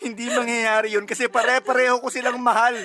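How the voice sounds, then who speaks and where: Radio drama husband: bereaved, blaming and pleading, high-pitched tense delivery. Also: male voice, level tone, quick pace, sharp tone. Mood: accusing. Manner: tearful, accusatory, pleading. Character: anguished, blaming husband grieving his lost children. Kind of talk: emotional radio drama acting.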